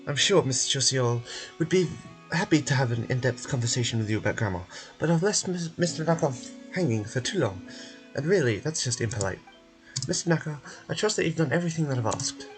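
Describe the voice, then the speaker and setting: A man's voice: medium pitch, lively intonation, a little fast, soft background music, close to an everyday voice, poor audio. A man voicing a polished institute spokesperson in a video game, explaining things formally with courteous, firm assurance. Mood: politely brisk.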